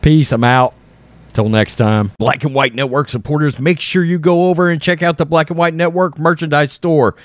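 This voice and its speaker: A man's voice: a little high, steady tone, moderate pace, no room sound. A man hosting an online commentary channel, reading and reacting to news in a blunt, sarcastic, exasperated way. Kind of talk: opinionated political commentary mixed with reading news aloud.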